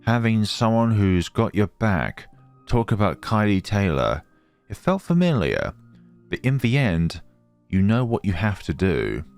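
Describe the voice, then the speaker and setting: A man's voice: lowish voice, big pitch swings, unhurried, darkish tone. A man hosting a let's play, reading game text aloud dramatically and reacting. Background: game music.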